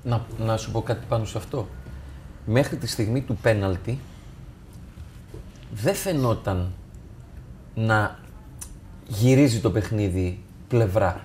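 A man's voice: fairly low pitch, long pauses, a little mellow, a little hiss or hum. A man talking as a football analyst, walking through match clips step by step and addressing viewers. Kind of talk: tactical match analysis over video.

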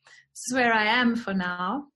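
A woman's voice: on the high side, measured pace, sharp tone. An older woman with a South African English accent, a podcast guest chatting casually about her life and often laughing. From born in New Zealand, raised in England and Africa. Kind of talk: casual chat.

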